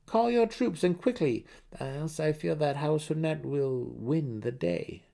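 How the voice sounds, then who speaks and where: Man voicing a stern high-pitched elf warrior. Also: lively intonation, a little slow, creaky, dark tone. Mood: urgent. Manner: pointed, commanding. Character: stern, urgent male dark elf warrior, elder son.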